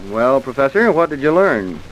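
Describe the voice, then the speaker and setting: A man playing a thorough, insistent police inspector in an old radio drama, questioning people briskly. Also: medium pitch, big pitch swings, natural voice, poor audio. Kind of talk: 1940s radio drama acting. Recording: hissy old radio recording.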